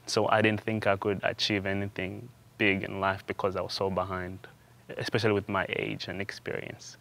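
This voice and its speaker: A young man from Uganda, a student guest in an interview, telling his story in a halting way with frequent restarts and repeated words. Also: on the low side, flat delivery, vocal fry, fairly bright tone. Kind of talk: conversational interview.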